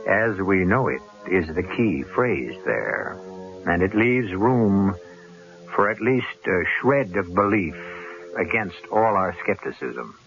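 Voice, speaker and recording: Mystery host: man, low creaky voice, deliberate and portentous, scripted radio narration. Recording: dull old radio recording. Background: music.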